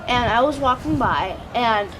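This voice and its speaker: A woman's voice: on the high side, lively intonation, a little slow, distant mic. A woman giving an improvised report in an emergency drill. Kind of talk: improvised role-play report. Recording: noisy outdoor recording, vehicles, off-mic.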